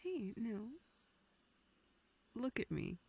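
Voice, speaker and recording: A young woman playing a self-deprecating, vulnerable friend in an intimate audio roleplay, speaking in halting, self-interrupting dialogue. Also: on the high side, big pitch swings, mellow, slight background noise, full character voice, poor audio. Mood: gentle, awkward. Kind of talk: intimate, naturalistic one-sided dialogue.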